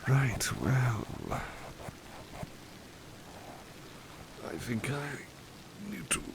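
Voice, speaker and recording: A man playing a devoted, polite butler who paints, chatting softly and hesitantly to the listener in an ASMR roleplay. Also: medium pitch, very animated, slow, creaky, noisy background, full character voice. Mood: relaxed. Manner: hesitant, courteous, old-fashioned wording, gentle. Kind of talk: ASMR roleplay, soft intimate chat to listener.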